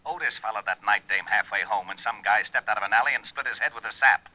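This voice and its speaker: Grumpy american cop on the phone, low fast voice, muffled old radio. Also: male voice. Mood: sour, sardonic. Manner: sour, sardonic, slangy. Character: grumpy, weary male police homicide lieutenant. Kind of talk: 1940s radio drama acting.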